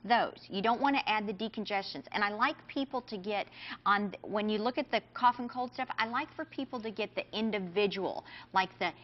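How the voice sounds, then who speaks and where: Female pharmacist guest, brisk confident explainer, mid-pitched, studio audio a little dull. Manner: brisk, confident, many "you know"s. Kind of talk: TV interview, explaining.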